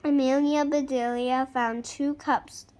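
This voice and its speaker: A young girl reading a picture book aloud in an animated, halting way, stopping to react and ask questions. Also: sits high. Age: young child. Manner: halting over harder words, interjecting reactions and questions to listeners. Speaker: child reader.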